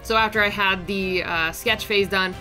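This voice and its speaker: A young woman with a sore, raspy throat chatting in a casual voice-over as an art channel host, upbeat and rambling with small fillers. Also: mid-range voice, fairly even intonation, normal speed, pushed and bright, soft background music, low-quality recording. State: sore throat, raspy and deeper than usual. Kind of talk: casual voice-over narration of a drawing timelapse.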